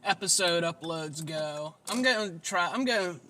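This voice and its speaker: A man's voice: fairly high pitch, expressive, normal speed, sharp tone, slight background noise. A man hosting a casual solo podcast, rambling and self-deprecating, with a whiny, nasal voice and free swearing. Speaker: podcast host.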